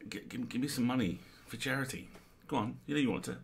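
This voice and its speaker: A man's voice: medium pitch, fast, gravelly, slightly rough. A man co-hosting a charity stream on a headset mic, trading dry, teasing quips. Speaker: co-host. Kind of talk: casual livestream banter.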